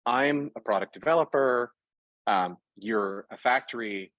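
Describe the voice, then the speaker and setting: A man being interviewed about his work in fashion, talking in a lively, conversational way and working through examples with frequent restarts. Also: mid-range voice, unhurried, no room sound, dull audio. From Puerto Rico. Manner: animated, talks through examples with self-corrections. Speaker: interview guest, fashion product executive.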